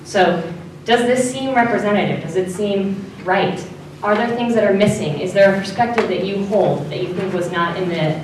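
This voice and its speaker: Woman facilitator, loud organized speech, lowish pitch, very echoey hall, slight hiss. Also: recorded from a distance. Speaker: facilitator presenting an assessment. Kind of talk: public meeting presentation. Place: large meeting room.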